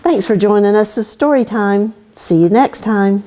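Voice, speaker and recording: A woman hosting a children's story time, reading a picture book aloud in an expressive storytelling way. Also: medium pitch, normal speed. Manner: expressive storytelling aimed at kids.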